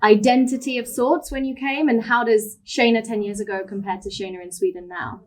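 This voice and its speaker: Moderator woman from the UK, slightly high voice, friendly, keeps the panel moving. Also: steady tone, a little fast. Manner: friendly, encouraging, asks follow-up questions. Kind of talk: hosting a panel discussion.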